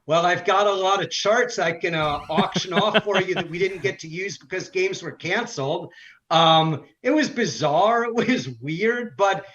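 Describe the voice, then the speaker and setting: Man, high voice, sports analyst, conversational interview answers, muffled lo-fi recording. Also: unhurried, crowd noise. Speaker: sports play-by-play broadcaster, interview guest.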